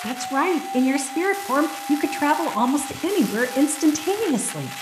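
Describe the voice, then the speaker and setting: An actress in a radio play playing an earnest, businesslike government special agent. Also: female voice, high voice, big pitch swings, normal speed. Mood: insistent. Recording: echoey room with clear background noise.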